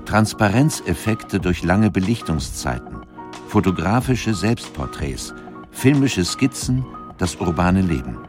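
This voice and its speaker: A man reading a documentary narration in a calm, even way. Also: sits low, a little slow, music in the background. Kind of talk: scripted narration. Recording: dry studio voice-over.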